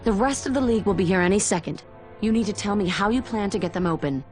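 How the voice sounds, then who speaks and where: A woman's voice: medium pitch, expressive, fast, a little mellow, soft background music, close to an everyday voice. A woman playing a composed, resolute warrior heroine in a video game, firm and serious. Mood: urgent.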